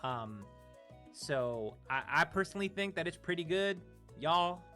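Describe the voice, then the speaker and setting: A woman with a Virginia Southern accent talks playfully while gaming, laughing often. Her pitch swoops widely and her voice is bright and forward. Faint game music plays underneath. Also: expressive, a little slow. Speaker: streamer guest. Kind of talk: casual chat while gaming.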